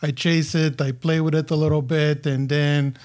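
Bulgarian guy on a podcast, fortyish, bit high voice, lots of ums and restarts telling his story. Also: little pitch movement, moderate pace, a little mellow. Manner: reflective storyteller with many fillers and restarts. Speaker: guest. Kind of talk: casual podcast interview.

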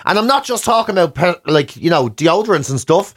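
Higher-voiced Irish man, jokey radio chat. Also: big pitch swings, a little fast, a bit bright. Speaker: radio show co-host. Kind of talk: casual radio banter.

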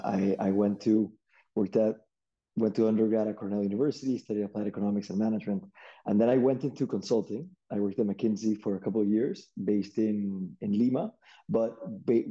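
A young man from Peru, a startup CEO, answering interview questions in a relaxed way with many restarts and fillers. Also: fairly low pitch, a little fast, dull audio.

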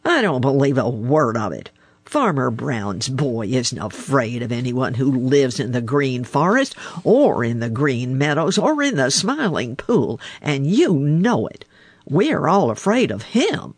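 A reader as a blunt, husky sceptical crow. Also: mid-range voice, sing-song, unhurried, no echo, full character voice. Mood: flat disbelief. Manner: blunt, snapping. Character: sceptical, snappish male crow in a tree.

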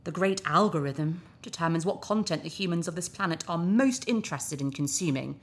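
Glib, low-voiced TV propaganda presenter, roomy sound. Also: female voice, animated, brisk. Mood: breezy, cynical. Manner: breezy, worldly, persuasive. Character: glib, cynical, charming female TV propaganda presenter. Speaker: actor in a sci-fi audio drama. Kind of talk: audio drama acting.

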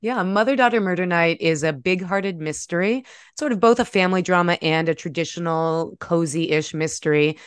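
An American woman, an author speaking in an articulate, measured way with a smooth, clear voice. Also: on the low side, no echo, studio quality. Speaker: debut author, guest. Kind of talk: conversational interview talk and prose reading from own novel.

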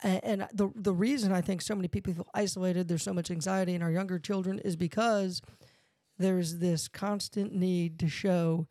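A man's voice: high voice, steady tone, moderate pace, a little mellow, no room sound. Playful man co-host, dry close sound. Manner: playful, riffs and jokes. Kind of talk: casual conversational podcast.

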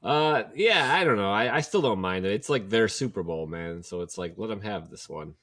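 A man with a lowish voice chats easily on a sports podcast. He is laid-back and slangy. The sound is dry. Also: expressive, moderate pace, fairly bright tone, dry recording. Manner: laid-back, says bro and dude. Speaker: podcast co-host. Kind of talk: casual chat.

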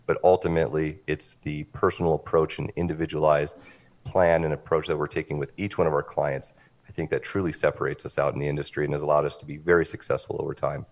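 A man giving an articulate, businesslike pitch as an interview guest, explaining things in a measured, polished way. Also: flat delivery, a little mellow. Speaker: interview guest, company representative.

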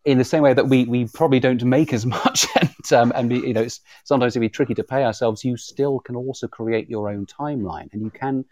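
A British man talks informally in an interview setting, recorded dry with little room sound. His speech is halting, and he often doubles back on words as he builds a sentence. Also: medium pitch, fairly even intonation, a little mellow, no room sound. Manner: halting, repeats words as he goes. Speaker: audio drama creator, interviewer. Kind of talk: informal live conversation interview.

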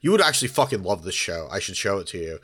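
A man's voice: low-pitched, very animated, fast, a bit bright, slightly rough. A young man co-hosting a podcast in casual chat, laid-back, swearing freely and laughing easily. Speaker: podcast co-host.

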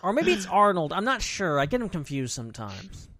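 A man's voice: sits high, big pitch swings, a little fast, a bit bright, no room sound. A man co-hosting a podcast in casual chat, talking informally in loose fragments peppered with "like". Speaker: podcast co-host.

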